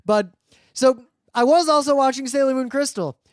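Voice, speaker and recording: A man's voice: very high-pitched, normal speed, dry recording. Male podcast co-host, casual geeky chat, rambling enthusiastic explainer, dry studio-like sound.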